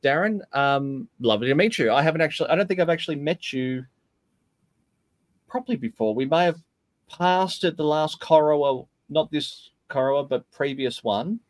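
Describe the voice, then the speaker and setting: A man's voice: a little high, moderate pace, no room sound. A man hosting a casual podcast, joking around and hesitating with lots of fillers. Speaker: podcast host.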